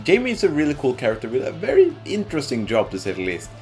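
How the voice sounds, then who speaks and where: A man's voice: mid-range voice, huge pitch swings, fast. A man giving casual, informal commentary as a video game reviewer, ranking things with emphatic opinions. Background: background music.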